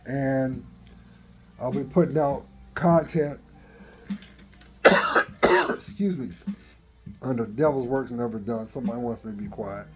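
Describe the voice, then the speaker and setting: A man in his fifties with a dry voice, giving a slow, earnest motivational talk into a phone in a garage. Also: medium pitch, darkish tone. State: dry voice, coughing. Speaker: vlogger. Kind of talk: motivational selfie vlog. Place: open garage, daytime. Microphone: phone at arm's length.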